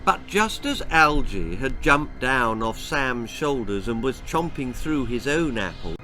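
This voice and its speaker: A man's voice: mid-range voice, sing-song, measured pace, pushed and bright, faint music behind. A man with an English accent reading a children's story aloud as a dramatic storyteller. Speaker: author reading own story.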